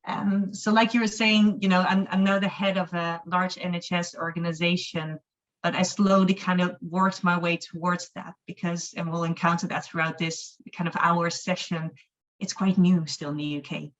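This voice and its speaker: A woman's voice: lowish voice, fairly even intonation, moderate pace. Dutch woman with a mixed, lilting accent. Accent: mixed Dutch, with an Irish-sounding lilt. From Netherlands. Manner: earnest, explanatory, with frequent ums and self-corrections. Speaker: humanist chaplain, guest. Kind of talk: webinar interview answer. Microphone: video call. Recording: dull lo-fi video call audio.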